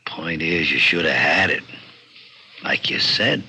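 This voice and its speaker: A man's voice: rumbling low, a little slow, pushed and bright, husky, full character voice. An actor playing a coolly mocking, streetwise gangster boss in 1940s radio drama style, with taunting, slangy tough-guy talk. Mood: mock regret. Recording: noisy old-time radio recording.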